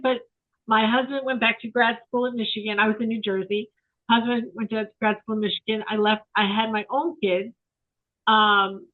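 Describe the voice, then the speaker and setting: A woman around sixty, a photographer guest, talking in a rambling, reflective way with many fillers over a remote call. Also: a little high. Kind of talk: casual interview chat. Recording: tinny phone-like line.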